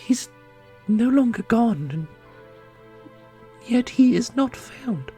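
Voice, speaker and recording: A woman playing a grave, caring custodian who mourns a lost brother, speaking formally and haltingly in a fantasy audio roleplay. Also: on the high side, animated, mellow. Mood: grieving, fragile. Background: orchestral music.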